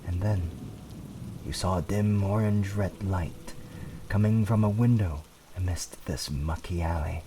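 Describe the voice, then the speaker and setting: A man's voice: sits low, unhurried, mellow, distant mic, a little hiss or hum. A man narrating a scripted fantasy tale in a dramatic storytelling style.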